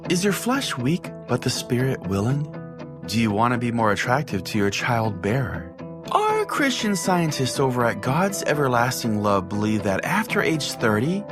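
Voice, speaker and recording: A man playing a crude, oblivious small-town pastor who hosts a radio call-in show, folksy and theatrically earnest. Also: medium pitch. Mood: earnest pitchman. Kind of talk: comedic mock-radio sermon and host banter.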